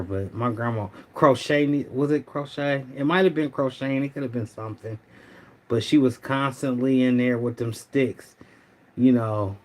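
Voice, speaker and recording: Middle-aged man, livestream host, slightly hoarse, relaxed and rambling, chats with viewers. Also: mid-range voice. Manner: laid-back, rambling, talks to viewers. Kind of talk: casual livestream chat.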